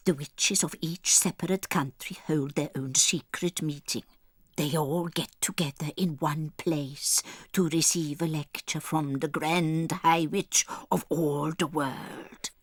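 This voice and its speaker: Slow, dramatic old grandmother. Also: sits low, fairly bright tone, husky, no echo, clean studio sound, full character voice. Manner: dramatic, emphatic storytelling. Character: protective old grandmother, over eighty, solemn storyteller. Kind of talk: dramatized audiobook acting.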